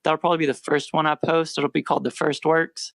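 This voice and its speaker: Soft-spoken young man rambling about music. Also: a little high, fast, gravelly, dry recording. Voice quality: quiet voice. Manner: rambling, self-correcting, relaxed. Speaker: musician guest. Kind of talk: casual podcast interview.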